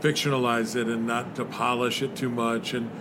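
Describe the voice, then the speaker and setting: A man's voice: medium pitch, flat delivery, moderate pace. A man hosting an interview, asking long conversational questions. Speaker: podcast host. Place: noisy spot near traffic. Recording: background traffic noise.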